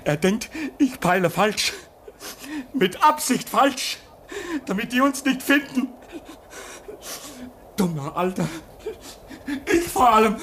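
A young radio operator near collapse, begging and sobbing in a vintage radio drama. His pitch swings widely from low murmurs to shrill cries, and he speaks slowly. A faint hiss sits under the old recording. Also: expressive, fairly bright tone, full character voice. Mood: hysterical. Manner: pleading, hysterical, weeping. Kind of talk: 1950s radio play acting.

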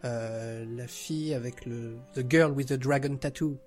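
A man's voice: mid-range voice, steady tone, a little slow, darkish tone, soft background music. A man chatting casually as a podcast guest, enthusiastic and often searching for his words. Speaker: podcast guest, audio drama creator. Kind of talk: casual chat.

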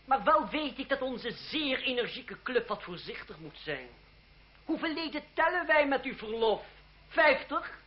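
A man's voice: extremely high pitch, very animated, a little slow, full character voice. A man acting a mocking, sceptical young lawyer in a Dutch radio play, sardonic and ornately polite. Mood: sardonic scolding. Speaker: radio drama actor. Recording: dull old radio recording, crowd noise.

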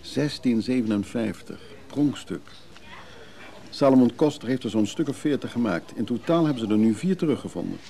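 An actor playing a guarded, grumbling older man, measured and brusque, in a radio drama. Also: medium pitch, expressive, dark tone, lightly acted. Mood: proud, precise.

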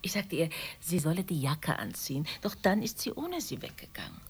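A woman's voice: fairly low pitch, big pitch swings, brisk, slightly rough, exaggerated voice. An actress playing a tired, chatty mother from next door in a radio play, rambling and confiding. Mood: exasperated, rambling. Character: tired, chatty neighbour, single mother of a teenage daughter.

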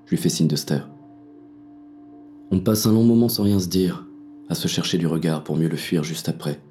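An actor playing a paranoid, brooding police inspector on the run, narrating a noir audio drama in coarse first person. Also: male voice, low voice, normal speed, dark tone, faint music behind, lightly acted. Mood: weary, subdued. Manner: gritty, coarse, brooding inner monologue.

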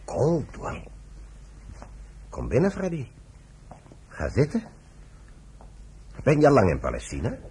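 A man's voice: mid-range voice, huge pitch swings, slow pace, long pauses, mellow, a little hiss or hum. A man acting an older retired British general in a Dutch radio play, dry, stubborn and ironic. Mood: cordial.

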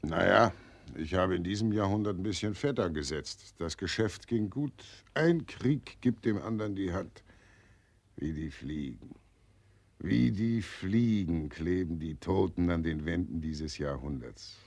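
Brief: fat jolly Death, a cynical undertaker in an old radio play, booming bass, gravelly. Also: male voice, lively intonation, unhurried, darkish tone, heavily acted. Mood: boastful. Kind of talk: 1940s radio drama acting.

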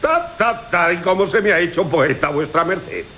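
Wily braggart, declamatory period radio farce, mock-polite, highly animated delivery, faint tape hiss. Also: male voice, normal speed. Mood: mocking surprise. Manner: florid, mock-courteous, declamatory. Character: boastful, wily swaggering rogue of old Seville. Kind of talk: theatrical radio play acting of classical comedy. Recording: hissy old radio recording.

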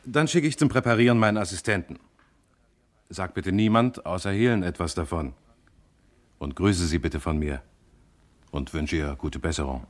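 A man acting a composed investigator in a radio crime drama. His voice is very low, and he questions in a measured, inquisitive, matter-of-fact way. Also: natural voice. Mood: calm, probing. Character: calm, probing investigator, friend of the family.

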